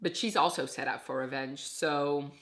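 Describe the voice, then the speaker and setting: A woman's voice: very deep voice, fairly even intonation, normal speed. A young woman from Iraq chatting casually as a book reviewer, summarizing plots with frequent self-corrections. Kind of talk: casual booktube chat.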